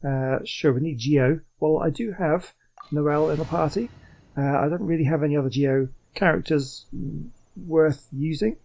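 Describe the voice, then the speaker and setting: A man giving casual gameplay commentary, thinking out loud and questioning himself as he goes. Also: fairly high pitch, normal speed, poor audio. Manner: muses aloud, questioning himself. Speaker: gaming video host. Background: faint game music.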